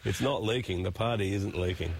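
A man's voice: on the low side, a little fast, vocal fry, breathy edge. A man speaking as a foreign correspondent, casual and loose in his phrasing. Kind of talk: reporter chat.

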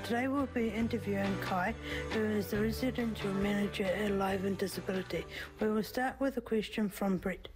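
Interview host, female, measured plain introduction, mid pitch, music playing behind. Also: low-quality recording.

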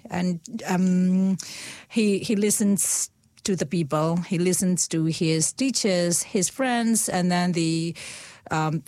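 Burmese-accented woman, halting and gentle. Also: on the low side, no echo. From Myanmar. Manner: halting, repeats words, gentle laughs. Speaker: guest. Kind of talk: casual conversation.

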